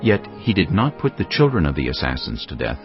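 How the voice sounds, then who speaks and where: A man narrating scripture in a solemn, measured way over music. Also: deep voice, wildly swooping pitch, highs cut off.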